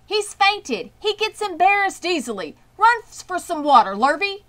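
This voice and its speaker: A woman's voice: very high-pitched, sing-song, moderate pace, fairly bright tone, voice pushed up, full character voice. Woman as a blustering, hollering farmer. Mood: flustered, insistent. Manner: hollering, exclamatory. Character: excitable, blustering male farmer.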